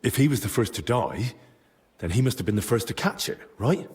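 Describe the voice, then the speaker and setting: An actor playing an earnest, inquisitive young adventurer investigating in a medieval game, plain-spoken and probing. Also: male voice, medium pitch, animated, brisk, a little mellow, natural voice. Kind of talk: dramatic video game cutscene acting.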